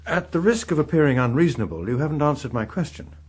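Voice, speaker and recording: An actor playing a suave, guarded veteran chief whip, measured, formal and evasive in a naturalistic political drama. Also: male voice, on the high side, lively intonation, darkish tone, breathy edge.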